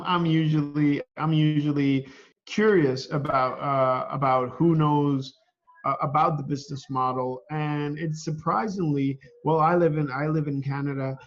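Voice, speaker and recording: A man from Venezuela, a guest on a casual call interview, talking in a halting way and repeating words as he thinks. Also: on the high side, dull audio. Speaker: guest, online seller.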